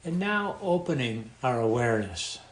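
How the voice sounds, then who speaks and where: A man with a medium-pitched voice guides a meditation in an echoey room. He speaks calmly and without hurry, leaving long pauses between short, instructive phrases. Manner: calm, unhurried, with long pauses. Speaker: meditation teacher.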